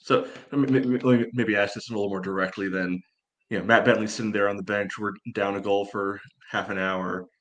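A man's voice: fairly low pitch, steady tone, brisk. An American man talking casually through sports analysis as a podcast co-host.

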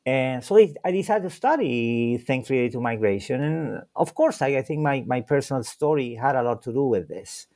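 A man's voice: mid-range voice, lively intonation, normal speed, hardly any pauses, darkish tone. A man from Chile, a scholar guest in an interview, talking in a hesitant way with many repeated words and fillers. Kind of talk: conversational interview.

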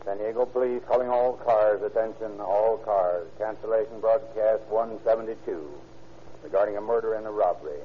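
A man's voice: lowish voice, normal speed, natural voice. Man playing a police dispatcher on crackly phone-like radio. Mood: official. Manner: clipped, official. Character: flat, official male police radio dispatcher. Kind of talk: 1930s police radio bulletin.

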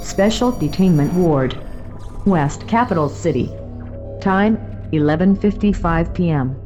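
An actress playing an impersonal, formal announcer who states scene details in an audio drama. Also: female voice, fairly low pitch, animated, measured pace, darkish tone. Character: impersonal female announcer stating place and time. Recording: faint music behind the voice.

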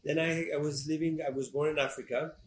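French-accented man, halting, in an echoey room. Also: on the high side, fairly even intonation. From Morocco and France. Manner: halting, repeats phrases. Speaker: fashion designer, interview guest. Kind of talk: conversational interview. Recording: echoey room with faint background noise.

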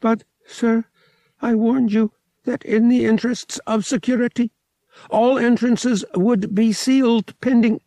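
Man voicing a prim, high-pitched, anxious robot servant. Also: steady tone, unhurried, mellow, no room sound. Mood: anxious protest. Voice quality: mechanical tones. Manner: formal, deferential, fussily precise. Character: prim, polite, anxious male-voiced service droid.

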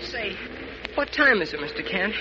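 Keen young cub in an old radio adventure, high boyish voice, slangy and earnest, dull aged recording. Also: male voice, sing-song, normal speed, heavy background noise. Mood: tired, eager. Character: eager, plucky young male cub sidekick, boyish. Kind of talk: 1940s radio serial acting.

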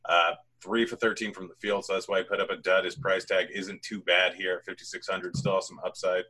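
Male podcast host, bright and forceful tone, upbeat rapid-fire picks talk. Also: low voice, little pitch movement. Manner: upbeat, rapid-fire sponsor read and game breakdown. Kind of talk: fantasy basketball podcast chat.

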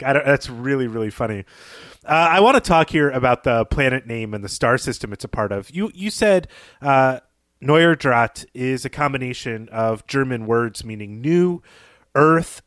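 A man's voice: mid-range voice, a bit bright, no echo, clean studio sound. A man co-hosting a literary podcast, talking through a story in a conversational, thoughtful, explanatory way. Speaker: podcast co-host.

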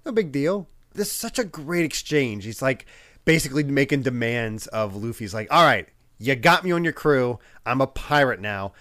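A man's voice: medium pitch, normal speed, no echo, clean studio sound. A man hosting a casual podcast, a little congested from a head cold, laughing often as he chats. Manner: laughing, animated, acts out quotes. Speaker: podcast host.

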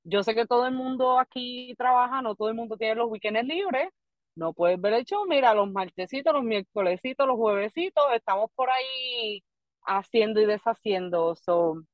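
A woman's voice: fairly high pitch, normal speed, dry recording, dull audio. A young adult woman with a Puerto Rican accent, a comedian guest chatting casually in rambling Spanglish with lots of restarts. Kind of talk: casual podcast chat.

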